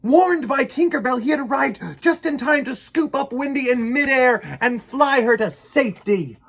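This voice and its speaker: A man hosting a children's storytime, reading and talking in a theatrical, expressive way with dramatic pauses. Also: very high voice. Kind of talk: animated storybook narration for children.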